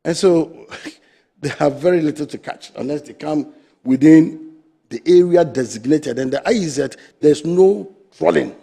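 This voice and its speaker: A middle-aged Ghanaian man, president of a fisheries association, arguing his case forcefully and emphatically as a guest on a TV studio discussion. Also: fairly high pitch, unhurried, vocal fry, breathy edge.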